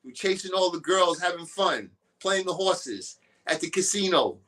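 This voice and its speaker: Guy w/ kinda high voice talking boxing, loose and opinionated, just rambling on. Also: expressive, moderate pace, a bit bright. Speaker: boxing guest. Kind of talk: casual boxing talk.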